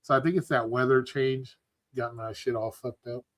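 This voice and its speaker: A man's voice: mid-range voice, fairly even intonation, normal speed, dry recording, clean studio sound. A man hosting a casual online talk stream, congested and losing his voice, talking loosely with lots of swearing. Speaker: host. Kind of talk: casual livestream chat.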